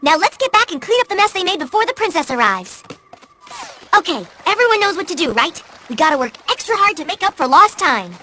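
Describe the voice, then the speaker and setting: A woman's voice: very high-pitched, big pitch swings, a little fast, a little hiss or hum, exaggerated voice. A woman voicing a studious young female pony in a cartoon, an earnest, urgent and bossy organizer under pressure. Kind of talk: animated cartoon acting. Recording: loud music behind the voice.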